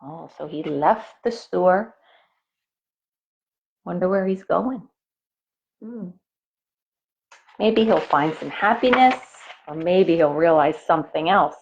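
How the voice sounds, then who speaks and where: Slow, lively woman reading to children, phone-like sound. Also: lowish voice, slight background noise. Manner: explains and asks questions to young listeners. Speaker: elementary school teacher reading aloud. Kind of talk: expressive children's picture book read-aloud with asides. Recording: phone-like, narrow-band sound.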